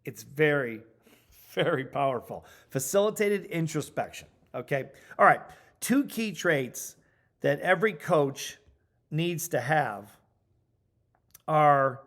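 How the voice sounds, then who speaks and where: A middle-aged man giving an instructional training talk as a coaching trainer, speaking emphatically and deliberately and repeating key points for stress. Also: a little high.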